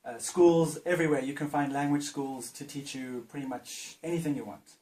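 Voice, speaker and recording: A man's voice: on the high side, fairly quick, darkish tone. A man interviewing a mother, asking chatty and encouraging questions in native English. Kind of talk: casual interview. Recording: roomy sound with faint noise.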